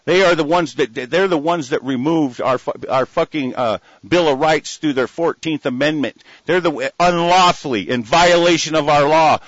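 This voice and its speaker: A man's voice: a little high, a bit bright, no echo. An American man delivering a furious, profane, heated monologue rant, on a dull lo-fi recording. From United States. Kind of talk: angry ranting monologue.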